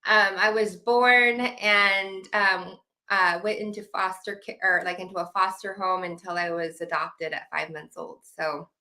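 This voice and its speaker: A woman's voice: mid-range voice, steady tone, unhurried, few pauses, bright, forceful tone, low-quality recording. A woman in her thirties from Washington, a guest chatting casually from home, laughing easily and restarting sentences. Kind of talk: casual interview talk.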